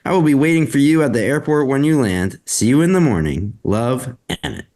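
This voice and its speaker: A man's voice: mid-range voice, expressive, a little fast, a little mellow, lightly acted. A man playing an affectionate, caring young boyfriend at a script table read. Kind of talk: amateur table read dialogue.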